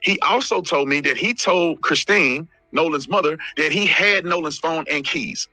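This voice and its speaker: A man's voice: a little high, moderate pace, bright, forceful tone, no room sound, faint music behind, poor audio. A man giving a detailed, methodical account of what he was told, in an explanatory style.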